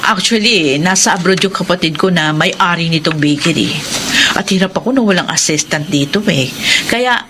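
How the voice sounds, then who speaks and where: A woman's voice: on the low side, moderate pace, fairly bright tone, close to an everyday voice. An actress in a classic radio drama playing a flirtatious woman who owns a bakery, teasing and coaxing. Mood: businesslike. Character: flirtatious woman bakery owner, seductive and pushy. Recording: noisy radio recording.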